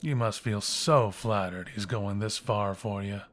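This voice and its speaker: A man acts a scheming fantasy guildmaster with a lowish voice. He talks in a smug, theatrical drawl of mock courtesy, taunting like an audio drama villain. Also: unhurried, a bit bright. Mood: smug, amused.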